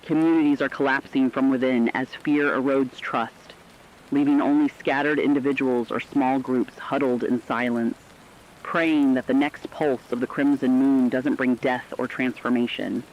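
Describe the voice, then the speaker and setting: A man acts as a serious male reporter in a horror radio drama, with a medium-pitched voice. He gives measured, urgent scripted bulletins. The sound is somewhat dull and lo-fi, with rain-like noise behind him. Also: fairly even intonation, lightly acted. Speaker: radio emergency broadcast reporter.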